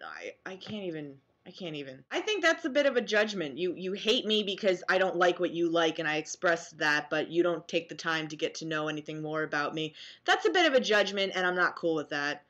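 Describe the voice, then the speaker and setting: A young American woman reacting casually to comments she reads aloud, sarcastic and animated, at home on a webcam mic. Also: fairly low pitch, brisk, muffled recording. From New Jersey, US. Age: young adult. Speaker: vlogger. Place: small room at home, indoors.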